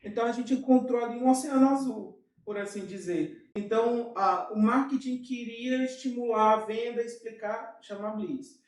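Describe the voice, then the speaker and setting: A man with a Brazilian Portuguese accent, a guest in an interview, telling his story in an animated way with many asides. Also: very high voice, recorded from a distance. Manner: animated, with frequent fillers and quick asides. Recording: echoey room, off-mic.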